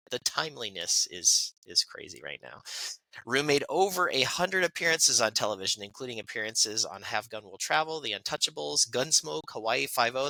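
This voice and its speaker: A man's voice: medium pitch, vocal fry, bright, forceful tone, no room sound, poor audio. A man co-hosting a podcast, reading out birthday notes in a chatty way with fillers and asides. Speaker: podcast co-host. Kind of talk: casual birthday segment reading.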